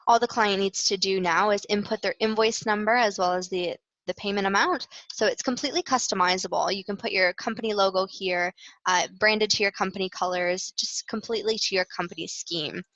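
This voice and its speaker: A woman's voice: medium pitch, brisk, fairly bright tone. A woman with a Canadian accent presenting a webinar product demonstration in an informal, explanatory way, with frequent hesitations. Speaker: client success manager presenting product demo. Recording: roomy, slightly dull webinar audio.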